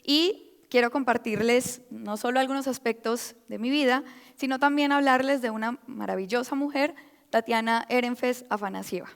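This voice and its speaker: A woman's voice: on the high side, moderate pace, gravelly, clean tone. A young woman from Colombia with a Colombian accent, a researcher giving a friendly public talk with frequent hesitations. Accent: Colombian Spanish. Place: lecture hall. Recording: reverberant hall.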